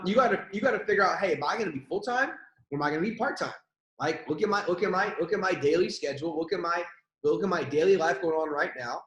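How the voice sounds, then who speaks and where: Young guy hyping his team, pep talk, keeps repeating stuff, kinda high voice. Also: echoey room, poor audio. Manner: energetic, repetitive, pep-talk delivery. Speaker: network marketing leader.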